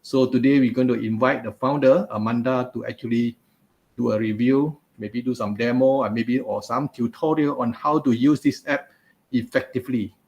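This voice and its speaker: A man's voice: medium pitch, steady tone, normal speed, darkish tone, lo-fi sound. A man hosting a live webinar interview over a video call, chatty, with many fillers and asides. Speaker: host. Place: home office, video call. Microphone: video call webcam.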